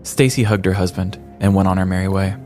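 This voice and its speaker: A man's voice: sits low, steady tone, fast, darkish tone. A man hosting a podcast, narrating a scripted comic story in a dramatic, ornate, mock-serious way. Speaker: podcast host. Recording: music bed behind the voice. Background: background music.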